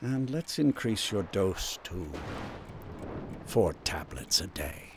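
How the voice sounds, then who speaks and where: An actor playing a controlled, patronizing doctor in a radio drama, speaking in a measured, clinical, condescending manner. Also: male voice, low-pitched, big pitch swings, exaggerated voice. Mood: cold, controlled. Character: controlled, patronizing male doctor questioning a patient.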